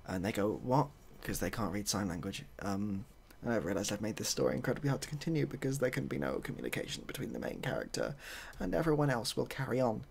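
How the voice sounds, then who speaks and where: A soft-spoken man improvising a story in a hesitant, dry, rambling and self-deprecating way. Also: lowish voice, big pitch swings, fast, creaky, few pauses, slight background noise. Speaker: ASMR video host.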